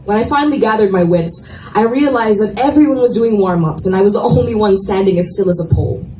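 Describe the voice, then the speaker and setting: A woman reading romance fiction aloud as expressive first-person narration, with dramatic pauses, at a live event. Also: mid-range voice. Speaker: reader of a romance novel. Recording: echoey room, distant mic, noisy ambience.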